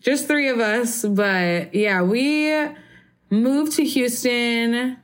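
A woman's voice: on the high side, very slow. A woman in her twenties from Barbados, chatting casually as an interview guest, rambling and filling her sentences with "like" and "um". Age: 20s. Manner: relaxed, rambling, heavy use of "like" and "um".

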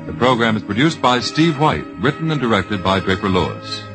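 A low-voiced American man works as a 1950s radio announcer. His delivery is formal and deliberate, with lively intonation. Music plays under the vintage broadcast. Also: poor audio.

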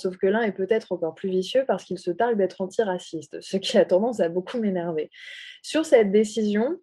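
A woman's voice: mid-range voice, mellow. A woman from France in a political discussion, making her points emphatically and in order.

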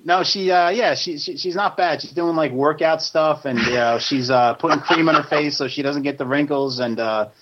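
A man's voice: fairly high pitch, moderate pace, hardly any pauses, a bit bright, highs cut off. A man in his late thirties hosting a podcast from a studio, rambling and swearing casually, laughing often. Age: 30s. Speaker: podcast host.

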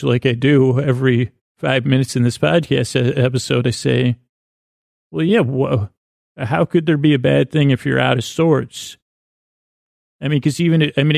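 A man with a creaky voice hosting a sleep podcast, speaking in a lulling, meandering, halting monologue that circles back on itself. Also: mid-range voice, darkish tone, dry recording, clean studio sound. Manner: lulling, soothing tones. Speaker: podcast host. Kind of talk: meandering bedtime monologue to help listeners sleep.

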